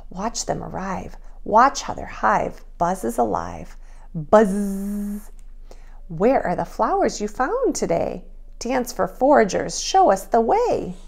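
A woman preschool teacher reading and instructing young children in an expressive, child-directed way. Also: mid-range voice, creaky. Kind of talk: children's read-aloud and drawing lesson.

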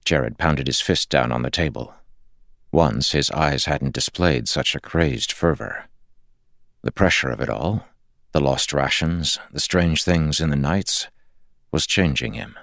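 Very deep, gravelly male audiobook narrator. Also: measured pace, fairly bright tone, no room sound, clean studio sound. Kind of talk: scripted fantasy fiction narration.

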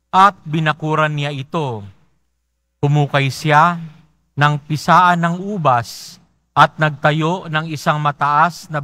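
A man with a Filipino accent, a priest reading the Gospel aloud in a large church in a slow, measured way. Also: fairly high pitch, bright, forceful tone, no room sound. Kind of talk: reading the Gospel and preaching at Mass.

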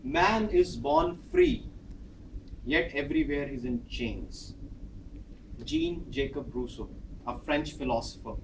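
A man in his thirties, a Hindi speaker with an Indian English accent, delivers a prepared speech in a large carpeted office training room. His voice sits a little high and he moves about animatedly, acting out each exchange. He is recorded from a distant camera, so the room echoes around him. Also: slow pace, pauses often, slight background noise. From northern India. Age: 30s. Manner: animated, acts out dialogue with gestures, pacing while speaking. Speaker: speaker at a club meeting. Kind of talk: prepared personal speech with storytelling. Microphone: far camera.

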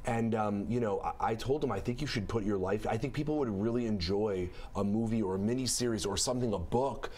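A man in his thirties from the Midwest, a comedian guest chatting on a TV studio set, animated and full of fillers. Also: lowish voice, a little fast, few pauses. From American Midwest. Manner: chatty and animated, many fillers and restarts, laughs while telling stories. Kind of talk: talk show interview.

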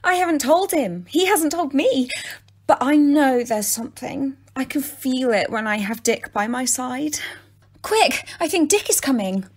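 A woman's voice: high-pitched, lively intonation, measured pace, slightly rough, full character voice. A woman playing a sweet, lovestruck young heroine in a radio pantomime, earnest and girlish.

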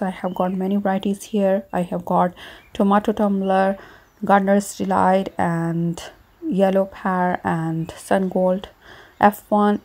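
A woman host calmly explaining her gardening work, speaking outdoors on a garden patio while she transplants seedlings. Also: medium pitch. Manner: calm, even, explanatory. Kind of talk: casual gardening tutorial narration.

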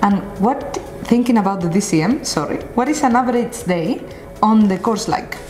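A woman's voice: medium pitch, moderate pace. A young woman hosting an interview, politely reading her questions from a card. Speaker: interviewer, host. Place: café-style room with sofa, indoors. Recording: roomy echo with music underneath.

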